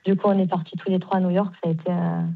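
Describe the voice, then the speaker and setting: A woman in her forties speaks over a tinny phone line, her voice a little low. She talks haltingly, often repeating words before she finds them. She agrees readily and warmly. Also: level tone, creaky. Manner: halting, repeats herself, warm and emphatic agreement. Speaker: caller, mother. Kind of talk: phone interview on radio.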